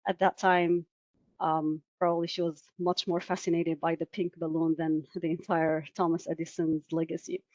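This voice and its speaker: A woman in her forties, from Syria, presenting a webinar in a measured way over a video call, with frequent "uh" and "um" fillers. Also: fairly low pitch, level tone, a little mellow, no echo. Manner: measured, many "uh" and "um" fillers, asks for next slide.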